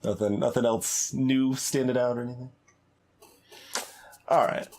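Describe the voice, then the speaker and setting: A man's voice: mid-range voice, fairly even intonation, unhurried, darkish tone. A man giving casual video game commentary as a streamer, hesitant and thinking aloud as he plays. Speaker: gaming streamer.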